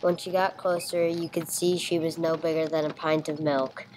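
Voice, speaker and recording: A woman acting as a sober police detective with a low voice, narrating in clipped, matter-of-fact sentences. It has the style of a 1950s radio crime drama, recorded with clear background noise. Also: little pitch movement, moderate pace, darkish tone, lightly acted. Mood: sober, reflective. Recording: clearly noisy amateur recording.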